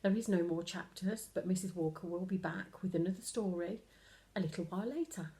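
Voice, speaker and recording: A woman reading a children's story aloud with animated, deliberate, expressive narration. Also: fairly low pitch. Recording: echoey room.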